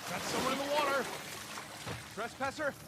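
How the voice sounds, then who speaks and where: Gruff soldier man, brisk barked lines, heavy background noise. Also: very high-pitched. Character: gruff male soldier at a gate. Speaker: game character. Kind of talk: video game cutscene acting.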